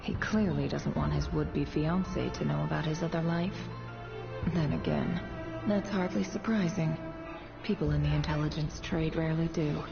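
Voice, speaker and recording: Deep, creaky, shrewd female spy. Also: steady tone, moderate pace. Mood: coolly intrigued. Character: cool, shrewd female intelligence operative. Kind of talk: video game voice acting, reflective monologue. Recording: echoey, dull game audio under music.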